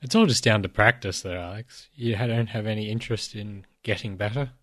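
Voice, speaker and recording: Croaky man with a cold. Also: fairly low pitch, brisk. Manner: asks questions, gently teasing. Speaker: podcast co-host. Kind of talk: casual chat.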